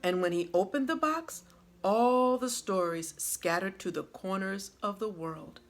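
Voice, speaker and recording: A woman reading a children's story aloud like a teacher, with dramatic, deliberate phrasing. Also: medium pitch.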